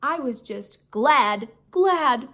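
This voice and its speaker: A woman's voice: sits high, lively intonation, very slow, fairly bright tone. A woman teacher reading a children's storybook aloud in a theatrical, emphatic way that invites young listeners in. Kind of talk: expressive children's storybook narration.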